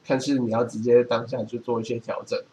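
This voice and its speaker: Quick-talking Taiwanese man, faint background noise. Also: mid-range voice, level tone, dark tone, poor audio. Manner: explanatory, advising. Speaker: podcast co-host. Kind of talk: casual chat.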